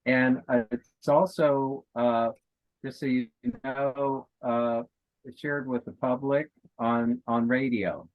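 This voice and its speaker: A man welcoming a guest at a club meeting, halting with many fillers. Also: mid-range voice, steady tone, dry recording. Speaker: club member. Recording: dry dull-sounding call.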